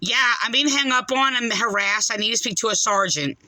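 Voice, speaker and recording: A woman's voice: fairly high pitch, fairly quick, pushed and bright, no echo, poor audio. An agitated woman caller making a rambling, insistent, repetitive complaint over the phone.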